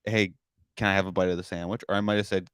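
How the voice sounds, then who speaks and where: A man's voice: low-pitched, level tone, quick pace, fairly bright tone, clean tone, no echo, studio quality. A young man hosting a comedy podcast, rambling casually with lots of filler words and self-deprecating asides. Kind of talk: casual chat.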